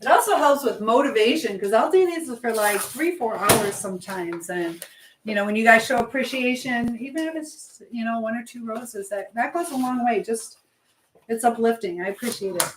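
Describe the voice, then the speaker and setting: A woman's voice: a little high, animated, moderate pace. A woman hosting a casual live stream, talking in a chatty, affectionate way with viewers and reading their comments aloud. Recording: clear background noise with clicking sounds.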